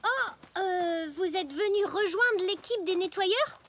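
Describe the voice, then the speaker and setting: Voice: very high-pitched, unhurried, fairly bright tone, a little hiss or hum. A performer voicing a cheerful, eager young boy zebra in a French children's cartoon. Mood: hesitant, concerned. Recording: music behind the voice.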